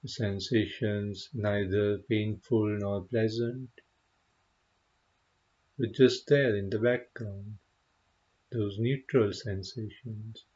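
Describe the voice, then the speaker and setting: Male meditation guide, lowish husky voice, gentle and very unhurried delivery, slightly muffled recording. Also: fairly even intonation. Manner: gentle, unhurried, pausing often. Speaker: meditation teacher.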